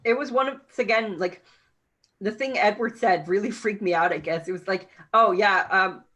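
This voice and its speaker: Mid-pitched woman in casual discussion. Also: normal speed, fairly bright tone. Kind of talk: casual book discussion chat.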